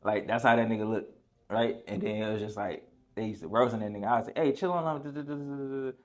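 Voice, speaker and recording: A young man hosting a casual podcast, telling animated stories full of slang and profanity. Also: on the low side, normal speed. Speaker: podcast host.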